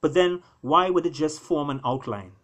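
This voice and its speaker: A man's voice: fairly high pitch, normal speed, a bit bright. Man reading an animal fable with drama. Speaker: author reading own work. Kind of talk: expressive storytelling narration of animal fable.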